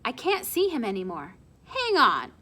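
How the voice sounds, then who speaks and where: A woman voicing an excitable boy lookout in a storybook, calling out in a mocking, scornful manner. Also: fairly high pitch, moderate pace, full character voice. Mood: reporting.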